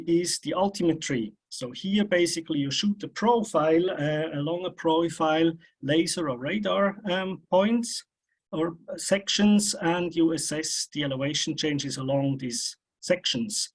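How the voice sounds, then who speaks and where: A man with a somewhat higher-pitched voice presents scientific research in an academic talk. He explains step by step in a hesitant way, pausing often between phrases. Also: husky. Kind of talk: academic seminar talk with slides.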